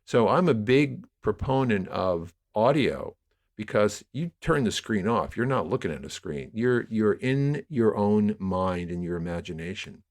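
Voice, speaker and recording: A man's voice: on the low side, animated, measured pace. A man speaking as an interview guest, explaining at length in a discursive, emphatic storytelling way. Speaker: podcast guest, audio drama producer. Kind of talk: conversational interview, explaining media history.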